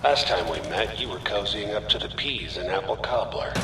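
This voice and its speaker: An actor playing a hardened, smug mercenary villain in a video game, taunting and unhurried in a coolly threatening way. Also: male voice, lowish voice, lightly acted. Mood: mocking threat. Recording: distant, echoey, noisy game audio.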